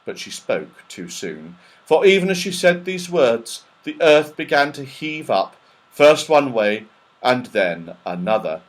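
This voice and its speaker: A man narrating a children's storybook in an expressive, dramatic, animated way. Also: mid-range voice, unhurried, low-quality recording. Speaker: audiobook narrator.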